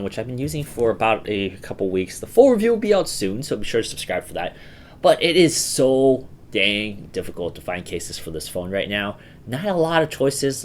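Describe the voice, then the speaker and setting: A man with a slightly low voice and a cold hosts his own gadget review channel. He talks casually and chattily, circling back with fillers and restarts as he walks through a product. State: has a cold, voice sounds a bit off. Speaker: tech reviewer, channel host.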